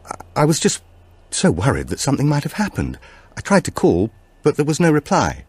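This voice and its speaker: A man voicing a brisk, concerned woman who runs a preschool, in an audiobook. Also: a little high, wildly swooping pitch, husky, pitched above the performer's own voice, full character voice. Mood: worried.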